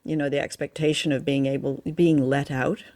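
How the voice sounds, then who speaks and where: A woman's voice: very deep voice, steady tone, a little fast, a little mellow, no echo. A woman co-hosting a radio show, chatting about old drama and often searching for her words. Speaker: radio show and podcast co-host.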